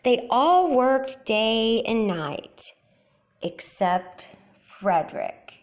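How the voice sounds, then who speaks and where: Slightly high-voiced woman reading a storybook. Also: sing-song, drawn-out words, darkish tone. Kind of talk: children's picture book read-aloud.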